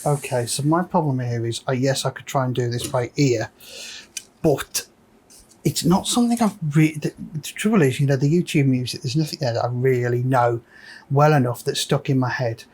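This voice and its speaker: A man's voice: mid-range voice, moderate pace, a little mellow. A man hosting a casual, unscripted repair walkthrough, thinking aloud hesitantly as he works. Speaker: host of a repair video.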